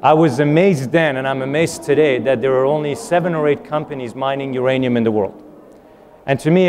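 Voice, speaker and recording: A man's voice: medium pitch, a little mellow. A young man from Iran who grew up in Vancouver, answering as a guest on a live conference panel, fluent with frequent uh's and repeated words. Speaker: panel guest, company founder.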